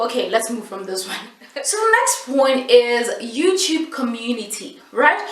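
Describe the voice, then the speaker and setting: A South African woman, a native Setswana speaker, giving casual vlog tips in an animated, emphatic, playful way with repetition for stress. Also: fairly high pitch. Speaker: YouTube host giving tips. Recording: echoey room, distant mic.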